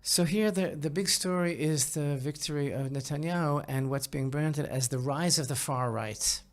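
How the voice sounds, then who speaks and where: Gravelly man giving halting opinion commentary. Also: a little high. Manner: halting and emphatic, repeats phrases and checks in with "right?". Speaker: podcast host and religious commentator.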